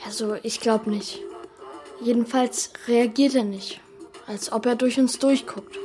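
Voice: medium pitch, steady tone, measured pace, a little mellow, faint music behind, close to an everyday voice. A performer voicing an earnest, troubled young boy who tells his story in a children's radio play. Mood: subdued. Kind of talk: radio play narration and dialogue.

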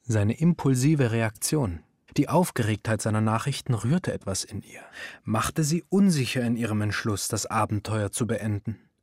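An actor playing a composed narrator, reading literary radio drama prose in a measured, formal way. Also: male voice, on the low side, lively intonation, darkish tone, dry recording, clean studio sound. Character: composed literary narrator observing a woman's affair.

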